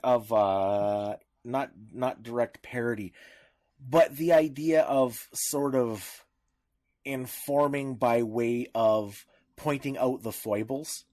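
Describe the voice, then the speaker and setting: A Canadian man co-hosts a casual discussion in a mid-pitched voice. He repeats words and restarts phrases as he works toward his point. The sound is dry, with very little room in it. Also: steady tone, slow, darkish tone, no room sound. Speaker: podcast co-host. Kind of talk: casual discussion of media law.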